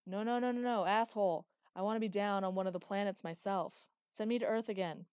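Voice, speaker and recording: A woman voicing a reckless, bloodthirsty man who shouts and taunts loudly. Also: medium pitch, brisk, no echo, clean studio sound, natural voice. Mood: gleeful, taunting. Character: reckless bloodthirsty young man, gleeful and crude.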